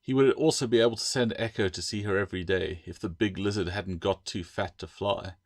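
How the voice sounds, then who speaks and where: Man, audiobook narrator, low voice, dry close sound, scripted storytelling. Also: steady tone, fairly quick, no echo. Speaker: author reading own novel.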